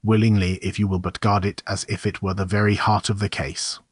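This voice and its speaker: A man acts a dignified but anxious Victorian diplomat in an audiobook drama. His deep, even voice is stiff, formal and polite. It is recorded very dry. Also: a little fast, husky, no room sound. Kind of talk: dramatised audiobook character acting.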